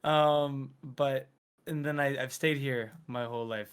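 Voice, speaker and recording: A man's voice: medium pitch, fairly even intonation, unhurried, clear, resonant tone. Young man chatting as a stream guest. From Rhode Island, raised near Philadelphia. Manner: easygoing, casual slang, lists things eagerly. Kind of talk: casual interview.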